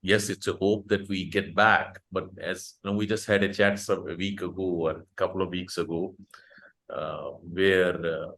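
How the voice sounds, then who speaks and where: A man's voice: sits low, little pitch movement, moderate pace, a bit bright. A man with an Indian accent hosting an online chat, talking casually and hesitantly with many fillers and restarts. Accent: Indian English. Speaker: host of an online support group chat. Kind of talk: casual conversational interview.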